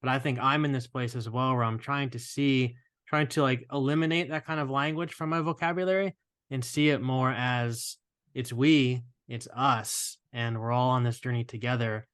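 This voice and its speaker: A man hosting a podcast interview, affirming and gentle with his guests. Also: medium pitch, steady tone, moderate pace, clean tone, no room sound, clean studio sound. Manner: affirming, gentle, many "yeah" and "mm". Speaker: podcast host.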